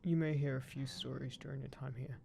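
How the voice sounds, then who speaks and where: Man playing a gravelly, guarded ghost pirate captain. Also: medium pitch, little pitch movement, a little fast, very noisy. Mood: guarded, wry. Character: guarded ghost pirate captain, fiercely protective of his crew. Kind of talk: immersive roleplay audio drama, spoken intimately to one listener. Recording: clear background ship-creak sound effects. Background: creaking wooden ship ambience.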